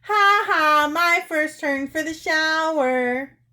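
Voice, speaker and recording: A woman's voice: medium pitch, expressive, slow pace, a bit bright. A woman voicing a rude, childish, disagreeable father in a children's story, taunting and petulant. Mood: gloating.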